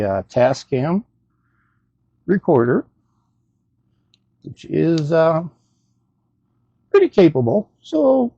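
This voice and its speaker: A man's voice: fairly high pitch, gravelly, dark tone, dry recording. A man casually talking through an unscripted gadget review as host, in a rambling, hesitant, self-deprecating way, on a slightly dull recording.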